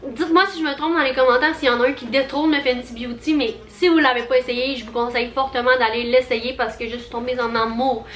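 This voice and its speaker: A woman's voice: sits high, fairly even intonation, fast, hardly any pauses, a bit bright, roomy sound, faint music behind. A young woman with a Quebec French accent, chatting through a casual haul vlog, bubbly and rambling with lots of fillers. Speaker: vlogger.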